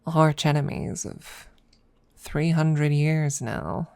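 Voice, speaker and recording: Woman doing a proud old dragon rival w a secret crush, asmr roleplay, creaky low voice, halting, close on the mic. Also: little pitch movement, dark tone. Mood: haughty, accusing. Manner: haughty, halting, dropping into close whispers. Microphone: close microphone.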